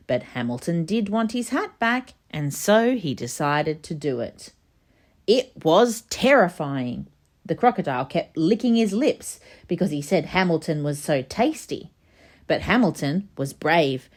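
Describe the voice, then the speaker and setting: Animated low-pitched woman reading a storybook. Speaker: storybook reader. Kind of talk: children's read-aloud narration.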